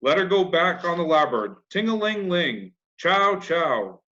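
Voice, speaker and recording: Storybook role: boastful young boy, played by a man, raised pitch, folksy and bossy, slightly dull recording. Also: big pitch swings, unhurried, sharp tone, heavily acted. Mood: bossy, playful. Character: boastful young boy playing a steamboat captain. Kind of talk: children's classic storybook reading.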